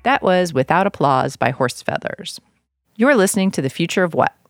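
A podcast host with a fairly low-set voice and a noticeable creak at the edges. The delivery suits both interview talk and read announcements, recorded very dry with no room sound. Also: sing-song, normal speed, dry recording, soft background music. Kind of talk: interview chat and scripted show announcements.

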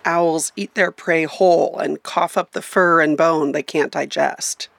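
A woman narrates a radio feature as its reporter, reading from a script. Her voice sits fairly low and has a marked creak at the edges. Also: fairly even intonation, unhurried, no echo.

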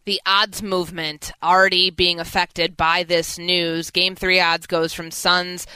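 A woman's voice: fairly low pitch, level tone, unhurried, sharp tone, clear, resonant tone, no echo, low-quality recording. An American woman hosting a sports talk radio show, giving commentary.

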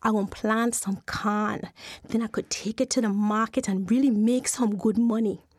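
A woman voicing a stingy, greedy farmer, eager and thinking out loud. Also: mid-range voice, normal speed, slightly rough, no room sound, voice pushed down, lightly acted. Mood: scheming. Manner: eager, excited, self-talking.

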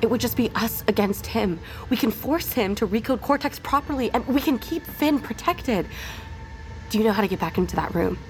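A woman's voice: fairly high pitch, expressive, brisk, soft background music, low-quality recording. Determined female survivor leader. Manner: urgent, decisive. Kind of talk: audio drama acting.

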